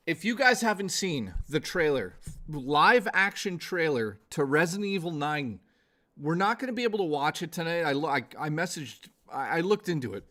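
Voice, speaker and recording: A man's voice: a little high, bright, forceful tone. A man in his forties chatting casually to viewers as a gaming livestreamer, informal and exclamatory, with some swearing. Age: 40s.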